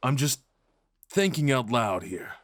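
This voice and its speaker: A man playing a devoted, possessive fox spirit husband in an intimate ASMR roleplay, rambling softly and tenderly with murmured endearments. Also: mid-range voice, very animated, measured pace, no echo, studio quality. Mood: protective, musing.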